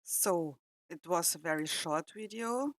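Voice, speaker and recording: A woman from Austria with an Austrian German accent and German as her first language, talking haltingly as a reaction host and pausing to search for words. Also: lowish voice, expressive, soft background music. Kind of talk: casual reflective commentary.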